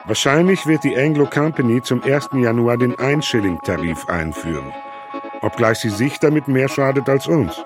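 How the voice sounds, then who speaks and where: An actor reading the letters of a nineteenth-century cable engineer in a measured, matter-of-fact way over soft music. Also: male voice, medium pitch, expressive, close to an everyday voice. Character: 19th-century cable engineer writing reports. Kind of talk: reading historical letters in a radio feature.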